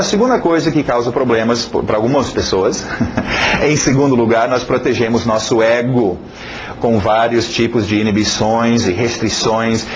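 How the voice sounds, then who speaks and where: A man teaching an informal spoken lesson, explaining each point and checking in with a questioning "ok?". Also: mid-range voice. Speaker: English teacher. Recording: dull sound with faint hiss.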